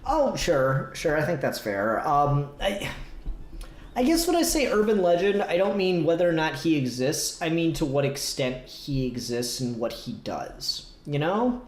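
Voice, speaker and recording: A man's voice: on the high side, sing-song, unhurried. Rambling man in an echoey room. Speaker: podcast co-host. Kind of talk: casual comic book discussion.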